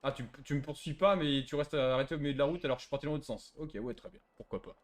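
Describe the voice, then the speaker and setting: A man's voice: a little high, rapid-fire. A man chatting casually as a player in online game voice chat, with a mocking, slangy way of talking.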